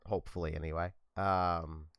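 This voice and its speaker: A young man hosting a let's play, talking in a monotone, low-key, rambling way with a slightly scratchy voice. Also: moderate pace, smooth, dry recording. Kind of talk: casual gameplay commentary.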